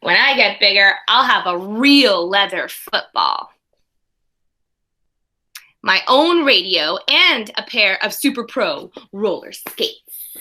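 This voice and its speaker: Bright-voiced woman teacher reading aloud. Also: mid-range voice, slow pace. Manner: expressive storybook reading with conversational asides to children. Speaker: early primary school teacher. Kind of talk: read-aloud lesson for young children.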